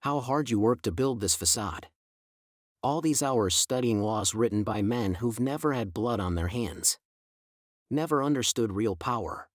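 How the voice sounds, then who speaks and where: A man's voice: mid-range voice, animated, a little slow, no room sound, clean studio sound. Man voicing a taunting young hitman. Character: menacing young male hitman, taunting and predatory.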